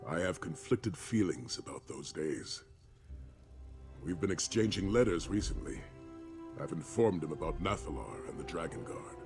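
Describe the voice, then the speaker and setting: A deep-voiced, dryly exasperated veteran warrior. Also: male voice, lively intonation. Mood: reflective, troubled. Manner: measured, dry, formal. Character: weary, gruff male veteran warrior, loyal, dryly exasperated. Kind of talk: video game character dialogue. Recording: music clearly under the voice.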